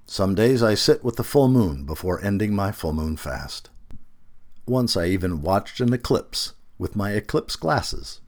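A man reading a reflective essay aloud as scripted narration, with measured phrasing and deliberate emphasis. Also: fairly low pitch, animated.

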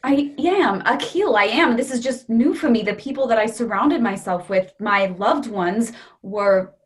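A woman's voice: a little high, measured pace, natural voice. A woman acting an affectionate but defensive young girlfriend in a naturalistic play reading. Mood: defensive, pleading. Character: affectionate, defensive young girlfriend trying to reassure her boyfriend. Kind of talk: readers theatre play reading, naturalistic dialogue.

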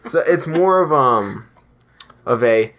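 A man's voice: medium pitch, animated, slow pace, fairly bright tone, a little hiss or hum. A young man hosting a casual podcast, chatting in a loose, rambling way with plenty of restarts. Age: young adult. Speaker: podcast host.

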